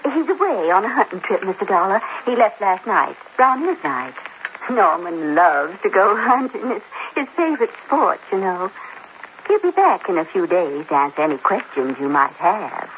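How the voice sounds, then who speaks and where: A woman's voice: medium pitch, big pitch swings, normal speed, lightly acted. An actress playing a genteel, middle-aged society mother in a 1950s radio drama, refined and polite but flustered and stammering. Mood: gracious. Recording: dull old radio recording with faint hiss.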